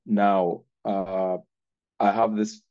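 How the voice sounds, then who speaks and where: A man's voice: on the low side, flat delivery, very slow, no room sound. A man whose first language is Swahili, answering as a parent on an online panel, hesitant with many restarts. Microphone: video call. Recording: dull lo-fi call audio.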